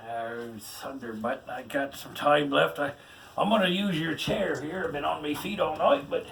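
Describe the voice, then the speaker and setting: A man voicing a gruff, jovial old male pirate captain, reading a rhymed picture book aloud with folksy, chatty asides. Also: on the high side, far from the mic, exaggerated voice.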